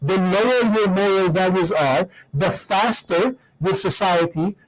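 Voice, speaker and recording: A man's voice: sits high, a little slow, rough recording. A man, a guest in a conversation, arguing his point emphatically and doubling words as he starts. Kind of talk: conversational argument.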